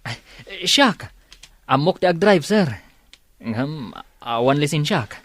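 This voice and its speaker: A man's voice: fairly high pitch, very animated, slow, fairly bright tone, no echo, full character voice. A man playing an eager, nervy burglar sidekick in an audio drama, questioning and deferential.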